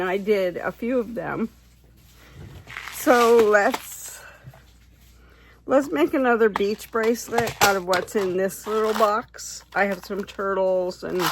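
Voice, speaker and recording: Hoarse, slow-talking woman crafter. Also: mid-range voice, a little hiss or hum. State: hoarse, losing her voice. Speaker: craft vlogger. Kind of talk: casual chatty bead craft tutorial.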